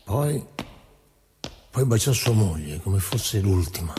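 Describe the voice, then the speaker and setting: A man reciting poetry dramatically, as in radio theatre, with measured, deliberate phrasing and pauses. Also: lowish voice, animated, big-room sound, a little hiss or hum. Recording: voice over prominent music. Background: loud musical instrument.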